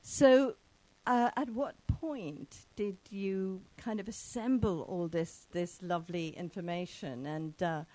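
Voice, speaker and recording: A woman's voice: fairly low pitch, takes it slowly, hardly any pauses, darkish tone, no room sound, rough recording. A woman from England hosting an interview podcast, asking long rambling questions with many fillers. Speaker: podcast host. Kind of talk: casual interview.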